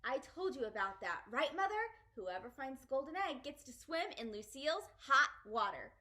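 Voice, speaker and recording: Woman acting little storybook girl, bright, high, bossy, excitable, emphatic, swooping intonation. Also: normal speed, heavily acted. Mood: eager, insistent. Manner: emphatic, excitable, childlike phrasing. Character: exuberant, bossy, chatty little girl narrating her story.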